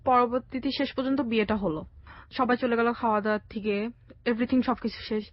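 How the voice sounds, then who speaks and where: A young woman with a Bangladeshi accent telling her own life story conversationally, in a halting way with bits of English. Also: fairly high pitch, steady tone, no room sound. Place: radio studio. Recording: dry, slightly dull radio sound.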